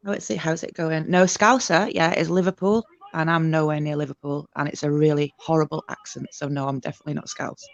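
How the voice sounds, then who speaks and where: A woman's voice: sits low, steady tone, a little fast, creaky, hardly any pauses, dry recording, muffled recording. Blunt, sweary woman with a Yorkshire accent. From Northern England. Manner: blunt, swears a lot, laughs often. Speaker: guest. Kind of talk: casual voice chat.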